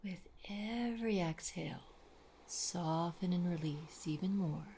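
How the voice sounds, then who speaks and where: A woman leading a guided meditation in a soft, soothing, breathy voice with gentle pauses. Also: lowish voice, slight background noise. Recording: voice over clear wave sounds. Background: ocean waves.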